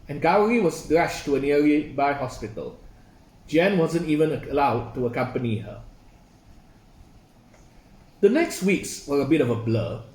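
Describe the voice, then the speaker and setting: Male author reading fiction aloud, fairly high voice, echoey room. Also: a little mellow. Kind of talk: literary reading. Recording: roomy echo.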